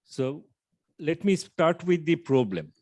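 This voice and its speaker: A man in his fifties from Bangladesh, speaking, gives a measured, explanatory startup pitch over a video call with frequent filler pauses. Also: big pitch swings, a little mellow, dry recording. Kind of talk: online pitch presentation.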